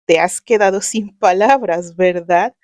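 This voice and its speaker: A woman with a Mexican accent reading the lines of a young woman's letter in a gentle, measured way. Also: fairly low pitch, dry recording, clean studio sound, natural voice. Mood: tender.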